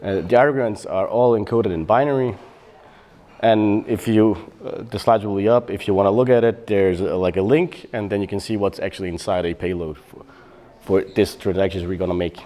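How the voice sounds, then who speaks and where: A middle-aged man from Bosnia giving a technical conference talk on developer tooling, relaxed and explanatory, with frequent fillers and self-corrections. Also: fairly low pitch, moderate pace. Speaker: software developer presenting.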